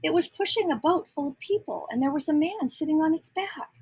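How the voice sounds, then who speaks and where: A woman reading a children's storybook aloud expressively, pausing to add simple explanatory asides. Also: high-pitched, lively intonation, a little mellow. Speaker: storybook reader.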